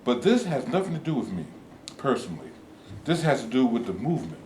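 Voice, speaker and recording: A man's voice: medium pitch, very animated, a little fast, dark tone, close to an everyday voice. A man playing a cautious, principled activist leader in a staged play reading, formal and earnest. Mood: cautioning. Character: cautious male civil rights leader, principled, measured. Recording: echoey room with background noise.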